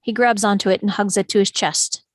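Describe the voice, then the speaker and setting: A woman narrator reads out scene directions from a script. Her voice sits at a middle pitch, dramatically engaged, and the sound is dry with no room around it. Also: steady tone, brisk, no echo. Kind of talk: scripted narration at a table read.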